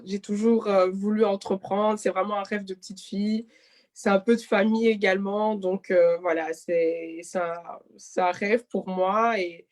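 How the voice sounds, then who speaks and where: A woman's voice: on the low side, darkish tone. A woman of twenty-seven from Belgium, giving conversational interview answers and often correcting herself mid-phrase. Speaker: interview guest, clothing e-shop founder.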